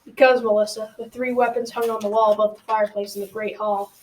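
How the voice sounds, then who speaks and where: A woman's voice: medium pitch, level tone, quick pace, a little mellow, close to an everyday voice. A teenage girl narrating a folktale as scripted storytelling in an amateur school radio drama. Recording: noisy, distant from the mic.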